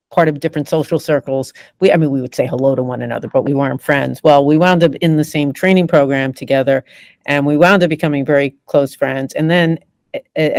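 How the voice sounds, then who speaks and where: A woman's voice: deep voice, a little mellow, dry recording. A woman in her sixties, a guest in a podcast interview, telling stories reflectively with many fillers and restarts. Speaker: podcast guest, media executive. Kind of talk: conversational storytelling.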